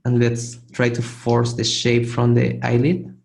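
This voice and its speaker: A man teaching a live online art webinar, hoarse and losing his voice, who keeps clearing his throat and gives casual, encouraging feedback with frequent restarts. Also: mid-range voice, completely flat delivery, measured pace, a little mellow. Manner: casual, encouraging, restarts phrases while demonstrating. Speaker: art instructor giving feedback. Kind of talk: live webinar critique of 3D sculpts.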